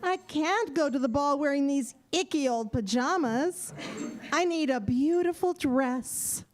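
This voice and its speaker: Woman playing high-pitched, sweet young Cinderella. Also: expressive, unhurried. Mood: pleading. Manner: wide-eyed, earnest, exclamatory. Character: sweet, eager young Cinderella, wishing and pleading. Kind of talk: children's audio theater acting.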